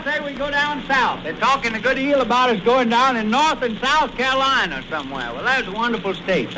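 High-pitched folksy man, rambling radio comedian. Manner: folksy, rambling, stammering, repeats words. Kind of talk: old-time radio comedy monologue. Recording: noisy old radio broadcast recording.

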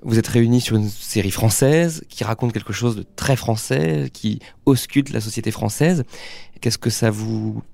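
A man's voice: mid-range voice, normal speed, vocal fry, a little mellow, no room sound. A man hosting a podcast interview, putting probing, carefully feeling-his-way questions to guests. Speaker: interviewer, host.

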